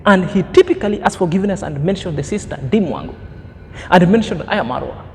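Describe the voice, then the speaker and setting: Man, 30s, high-pitched vlogger with a preachy, emphatic delivery and lofty vocabulary, recorded in a reverberant room with a low rumble. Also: expressive, brisk. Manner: preachy, emphatic, fond of grand words. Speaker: commentary vlogger. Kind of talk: opinion commentary monologue.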